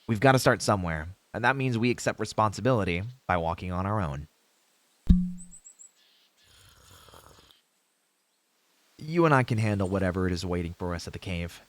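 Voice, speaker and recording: A man's voice: sits low, lively intonation, fast talker, fairly bright tone, no echo. A man playing an earnest, idealistic young novice hero in a fantasy audio roleplay, speaking in formal heroic phrases with hesitant asides to a companion. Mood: rousing, reassuring.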